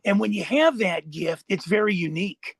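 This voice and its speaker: A man's voice: sits high, animated, moderate pace, breathy edge, no echo, studio quality. An American man hosting a casual interview, rambling and agreeable as he talks. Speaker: podcast host, interviewer.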